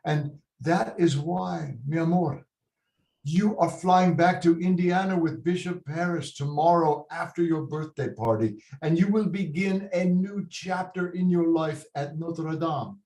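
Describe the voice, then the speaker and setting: A man playing a stern, slow-spoken loving father. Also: fairly high pitch, darkish tone. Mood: grave, sorrowful. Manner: deliberate, paternal, slips Spanish words into English. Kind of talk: dramatic table read. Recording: roomy echoing video call.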